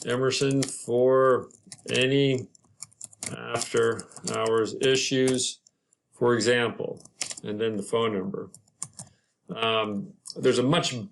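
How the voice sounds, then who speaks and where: A man narrating an informal screen-share software tutorial in a halting, unscripted, explanatory way. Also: medium pitch. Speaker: property manager presenting a software tutorial.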